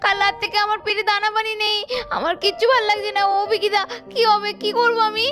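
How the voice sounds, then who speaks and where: A woman's voice: very high-pitched, flat delivery, quick pace, a bit bright. A woman voicing emotional, emphatic young women in a melodramatic doll cartoon. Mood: anxious.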